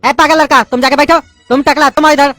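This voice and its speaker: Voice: high-pitched, fairly even intonation, quick pace, fairly bright tone, no echo, faint music behind. A voice actor playing an irritable male English teacher, scolding his class in an exasperated tone.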